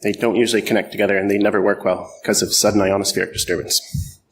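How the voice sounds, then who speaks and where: A man's voice: lowish voice, level tone, fast, a little hiss or hum, poor audio. A middle-aged man from Canada giving a conference talk, self-deprecating and joking, with many ums and uhs. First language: French or English, bilingual. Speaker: conference speaker. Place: conference hall.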